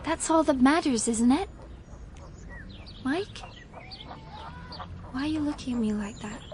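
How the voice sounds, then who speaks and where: A high, youthful woman's voice, a devoted young heiress in a radio drama. She is sweet and earnest, eager to be believed. Faint ambience sits behind her. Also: unhurried, background noise. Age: young adult. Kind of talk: radio play acting.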